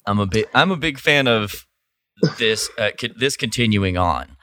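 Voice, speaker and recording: A man's voice: fairly low pitch, expressive, normal speed, bright, forceful tone. A man co-hosting a podcast, chatting casually with informal, emphatic reactions. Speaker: podcast co-host. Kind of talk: casual chat.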